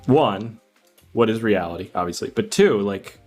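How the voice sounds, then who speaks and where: A man's voice: lowish voice, animated, moderate pace, darkish tone, faint music behind. A man co-hosting a podcast, discussing a film casually and informally, thinking aloud as he goes. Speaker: podcast co-host.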